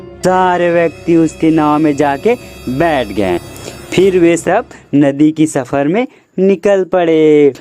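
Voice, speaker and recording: A man narrates a children's moral tale in a fairly high voice. His delivery is very slow and deliberate, as a scripted storyteller, with faint music underneath. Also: warm tone.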